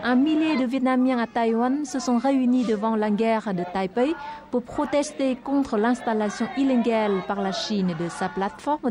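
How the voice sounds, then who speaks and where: A woman reading a scripted television news report in a steady, formal manner. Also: on the high side. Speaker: news reader.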